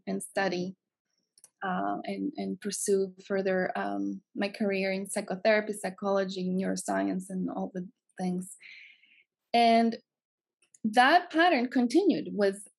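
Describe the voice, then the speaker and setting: A woman's voice: medium pitch, gravelly. A woman of about forty from Greece, with a Greek accent, earnestly telling her life story as a podcast guest and repeating phrases for emphasis. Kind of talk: personal storytelling in an interview.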